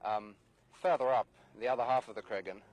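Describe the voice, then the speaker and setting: A man speaking as an army officer, giving a hesitant, formal and understated briefing with many halting pauses. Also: medium pitch, no room sound, low-quality recording. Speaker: British Army battalion officer. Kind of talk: unscripted briefing to an interviewer. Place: outdoors, overlooking the area.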